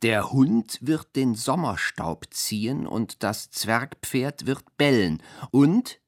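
Poetic radio drama reciter, slow, deliberate fragmented phrasing, melancholy, dry studio sound. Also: male voice, medium pitch, animated, no room sound. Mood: measured, incantatory. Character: man reciting surreal, dreamlike, melancholy poetry.

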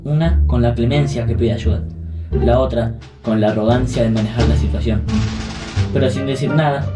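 A man's voice: mid-range voice, normal speed, music in the background. A man with an Argentine accent solemnly narrating a scripted radio drama.

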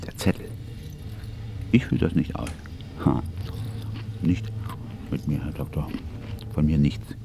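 An actor playing an embittered, suspicious old communist in a psychiatric clinic, in terse radio drama monologue. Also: male voice, very deep voice, slow pace, long pauses, full character voice. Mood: defiant, suspicious. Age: older. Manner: terse, grumbling, breathy half-whispers swelling to declamation. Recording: noisy ambience behind the voice.

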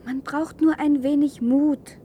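An actress playing a calm, earnest woman living free in a wasteland, in a 1970s German radio play. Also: high voice, takes it slowly, slight background noise. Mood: calm, reassuring.

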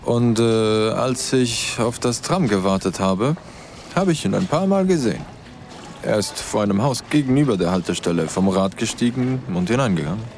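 A man's voice: fairly low pitch, expressive, normal speed, darkish tone, slight background noise. A man playing a curious, candid acquaintance in a radio drama, chatting in a casual, slightly hesitant way. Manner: hesitant, conversational.

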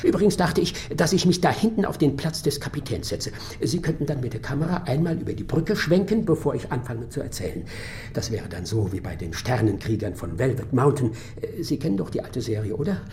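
A man's voice: medium pitch, big pitch swings, fairly quick, darkish tone, roomy sound, a little hiss or hum. An actor in a German radio drama playing a talkative, wistful old male robot, a courteous, rambling ship's officer. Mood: chatty, eager.

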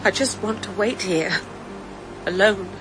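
An actress playing a fragile, anxious young woman in a radio drama, speaking softly. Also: mid-range voice, unhurried, noisy background, natural voice. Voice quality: soft and fragile.

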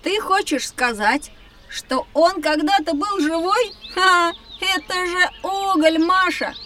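A woman playing a lively, cheeky, excitable young boy in a children's audio drama. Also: very high-pitched, takes it slowly, far from the mic, noisy background. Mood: curious. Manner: excitable, teasing, exclamatory.

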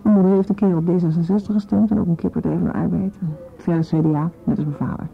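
An actress playing a frank, quick-witted young activist woman who talks in a direct, teasing way in a radio drama. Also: lowish voice, fairly even intonation, creaky, dull audio. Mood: wry. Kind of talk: naturalistic Dutch radio drama dialogue.

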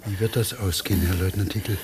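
A man's voice: low-pitched, fairly even intonation, fast, natural voice. Worried householder played by a man, noisy old recording. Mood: worried, uneasy. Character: worried, bewildered householder, fretful and deferential. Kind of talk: German radio drama acting.

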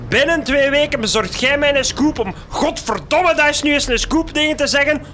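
An actor playing a foul-mouthed, bullying newspaper editor-in-chief in a comic radio drama, with a Flemish accent and theatrical sarcasm. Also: male voice, very high-pitched, normal speed, pushed and bright, slight background noise. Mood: furious. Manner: theatrical, sarcastic, barking colourful insults.

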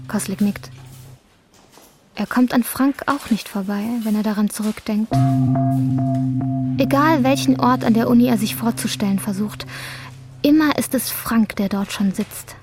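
A woman's voice: a little high, measured pace, pauses often, music in the background. A woman narrating a literary radio drama from a script.

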